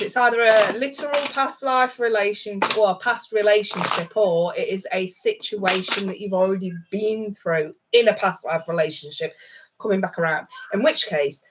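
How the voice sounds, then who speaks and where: A woman's voice: medium pitch, a little hiss or hum, rough recording. A woman doing a casual tarot card reading, with a stuffed-up nose and a blunt, foul-mouthed way of talking. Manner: blunt, swears freely, chatty asides. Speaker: tarot reader.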